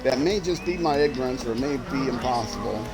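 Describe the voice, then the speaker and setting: A man's voice: mid-range voice, fast talker, gravelly. Rambling man streaming a racing game. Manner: rambling, trailing off and restarting; sudden excited outbursts while racing. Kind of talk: casual gameplay commentary. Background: loud game music and car engine sounds.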